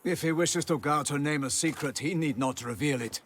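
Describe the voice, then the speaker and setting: An actor playing a gallant, courteous knight in a video game, with ceremonious, archaic phrasing. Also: male voice, fairly high pitch, a little fast, fairly bright tone, a little hiss or hum. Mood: courteous, decisive. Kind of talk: video game cutscene acting.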